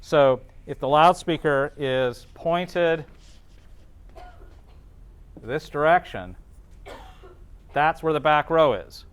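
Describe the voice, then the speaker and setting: Clear-voiced man teaching, thinking aloud. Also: fairly high pitch, slow pace, pauses often. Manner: thinks aloud while working, checks in with students. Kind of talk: informal classroom lecture working through calculations.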